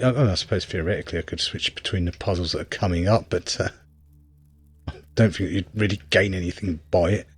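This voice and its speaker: Man, deep rough-edged voice, casual gameplay commentary, hesitant thinking-aloud delivery. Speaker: video game reviewer.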